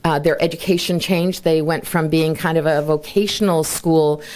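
A woman in her sixties opening a conference with an informal talk, full of chatty asides, speaking at a podium in a large bright hall. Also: sits low, fairly even intonation, normal speed. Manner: chatty, self-deprecating asides with light laughter. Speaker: conference organizer and presenter. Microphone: lapel mic, then handheld mic.

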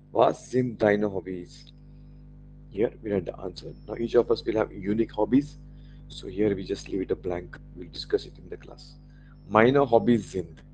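Slow Indian man teaching German. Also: lowish voice, darkish tone, low-quality recording. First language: Marathi. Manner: slow, repeats German phrases carefully for learners. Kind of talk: online language lesson.

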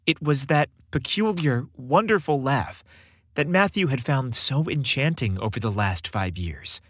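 Expressive man narrating a story, dry studio. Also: medium pitch, measured pace, breathy edge, dry recording. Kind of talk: scripted story narration, expressive audiobook-like delivery.

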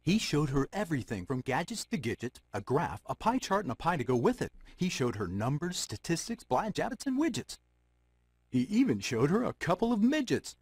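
A theatrical fairy-tale narrator for a video game. His husky voice swings widely through playful, dramatic phrases. The sound is close and dry. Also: fairly high pitch, very animated, moderate pace, dry recording, exaggerated voice. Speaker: storybook narrator.

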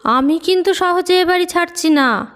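A woman playing a tender but resolute mother in an audio horror story, telling things in an expressive, intimate way. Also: extremely high pitch, moderate pace. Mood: firm. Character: Monika, tender resolute mother sensing a child ghost.

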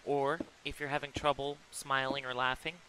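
A man's voice: medium pitch, level tone, moderate pace, bright, forceful tone, a little hiss or hum, low-quality recording. A man in casual stream chat, speaking in a reflective, encouraging way.

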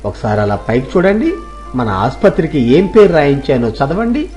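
A patient young city doctor played by a man speaks gently and respectfully as a son to his parents. The delivery is soft and explanatory, in a story drama with light music behind it. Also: medium pitch, very animated, normal speed, close to an everyday voice. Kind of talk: dramatized moral story acting.

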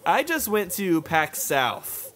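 A man's voice: high voice, big pitch swings, slow pace, fairly bright tone. A man co-hosting a gaming video with casual banter, crude, teasing and full of swearing. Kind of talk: casual banter over gameplay.

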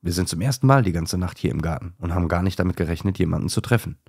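Timid, chatty girl dachshund read by a man, gently raised pitch with a gravelly edge, children's tale. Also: racing, no echo, studio quality. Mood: curious, polite. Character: curious, timid, chatty little female dachshund.

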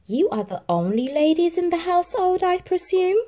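Woman doing a stuffy fairy tale duke man, formal, voice pitched way up and swoopy. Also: sing-song, moderate pace, mellow. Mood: formal inquiry. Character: pompous, formal grand duke, adult man.

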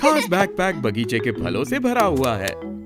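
A man's voice: mid-range voice, huge pitch swings, a little slow, over loud music. A man narrating a children's cartoon as a cheerful storyteller. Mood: bright storytelling.

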